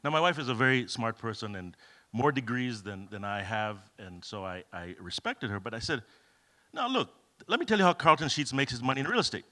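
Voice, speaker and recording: Bright-voiced Kenyan-born man telling stories. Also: mid-range voice, animated, fairly quick. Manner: humorous, self-deprecating storytelling with many fillers. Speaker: keynote speaker. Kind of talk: conference talk with anecdotes. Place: event hall.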